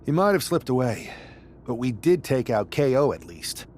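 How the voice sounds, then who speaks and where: An actor playing a determined, level-headed wrestler in a measured, resolute way in a video game cutscene. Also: male voice, medium pitch, very animated, darkish tone, a little hiss or hum, lightly acted.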